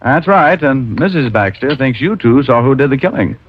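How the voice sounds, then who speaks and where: A man's voice: medium pitch, expressive, moderate pace, slightly rough, no room sound. An actor playing a tough, wisecracking private detective who narrates his case in an old radio drama. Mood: matter-of-fact. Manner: wisecracking, hardboiled. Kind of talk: 1950s radio drama acting. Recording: muffled old radio recording with music.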